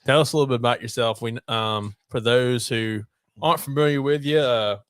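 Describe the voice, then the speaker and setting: A man's voice: medium pitch, brisk, a bit bright, smooth, no room sound. A man hosting a podcast, teasing his co-hosts and introducing the guest in casual banter. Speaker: podcast host.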